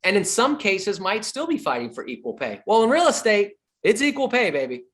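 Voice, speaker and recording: A man's voice: high voice, wildly swooping pitch, brisk, fairly bright tone. A middle-aged man giving a sales training talk as a real estate coach, emphatic, repeating his key points and joking with the audience.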